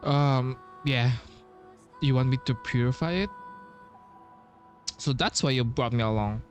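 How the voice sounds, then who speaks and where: A man doing casual playthrough commentary as a streamer, thinking aloud haltingly and repeating himself. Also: mid-range voice. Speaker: game streamer. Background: game music.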